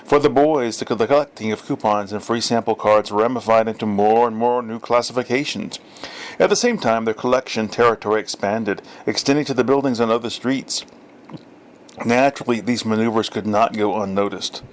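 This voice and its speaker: A man's voice: on the low side, a little fast. A man reading a literary story aloud as scripted narration. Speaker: audiobook reader.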